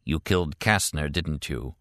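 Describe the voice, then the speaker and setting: A man's voice: medium pitch, sing-song, fairly quick, a bit bright, no room sound, clean studio sound, voice pushed up. Man voicing a commanding, grimly determined interrogator. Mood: menacing, insistent. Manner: commanding, insistent.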